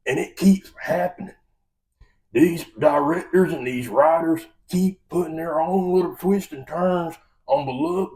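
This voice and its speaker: American guy doing a folksy fed-up movie rant, really creaky gravelly voice, bit far from the mic. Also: on the high side, a little mellow. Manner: exasperated, emphatic, folksy. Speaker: film review vlogger. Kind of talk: opinionated movie rant to camera.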